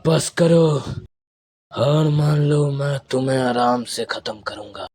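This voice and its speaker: A man's voice: medium pitch, expressive, a little slow, creaky, breathy edge, full character voice. A man playing a cold, determined young ninja rival in a fan-dubbed anime, with a dramatic, menacing delivery.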